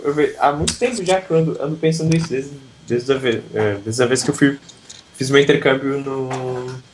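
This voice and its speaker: A man's voice: a little high, a little hiss or hum, rough recording. A man from southern Brazil, an English teacher, talking reflectively in a casual interview with frequent restarts.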